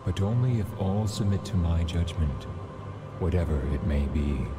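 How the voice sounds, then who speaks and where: A man playing a calm, wise god of animals in an animated fairy tale, speaking in slow, solemn pronouncements. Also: low-pitched. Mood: calm, judging. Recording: music loud behind the voice. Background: loud music.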